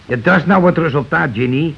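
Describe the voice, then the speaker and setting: An actor playing a bossy, calculating working-class husband in a Dutch radio play, blunt and argumentative in colloquial speech. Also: male voice, on the high side, brisk, vocal fry, slightly rough. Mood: grumbling. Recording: dull, noisy old radio recording.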